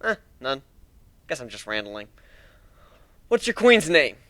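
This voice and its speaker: A man's voice: medium pitch, very animated, a little slow, fairly bright tone, no room sound. A man voicing a cocky, menacing male griffin warrior, taunting and sarcastic, in a dramatic fantasy story reading. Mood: mocking, casual.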